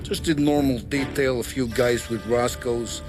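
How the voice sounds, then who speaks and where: A man's voice: medium pitch, steady tone, measured pace, over music, lightly acted. Man playing a shrewd, cynical American mobster. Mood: cynical, businesslike. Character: shrewd, cynical, plain-spoken mob adviser. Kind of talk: cinematic crime game acting.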